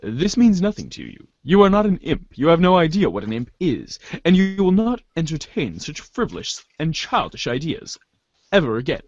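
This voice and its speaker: A man's voice: on the high side, very animated, normal speed, a little mellow, no echo, lo-fi sound. A man giving an amateur dramatic reading of narration, theatrical in delivery and now and then stumbling over the text. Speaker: narrator reading a webcomic aloud.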